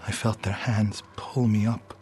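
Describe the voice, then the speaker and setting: Actor as a grieving warrior monk, solemn, music behind. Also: male voice, lowish voice, level tone, unhurried, darkish tone, close to an everyday voice. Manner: solemn, earnest. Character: grieving, earnest warrior monk of feudal Japan. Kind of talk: dramatic video game cutscene acting. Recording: background music under the dialogue.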